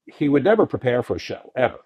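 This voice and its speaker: Deep-voiced man telling rambling stories. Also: fast, husky, no echo, dull audio. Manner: rambling, animated anecdotes with restarts. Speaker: podcast guest. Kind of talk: casual storytelling interview.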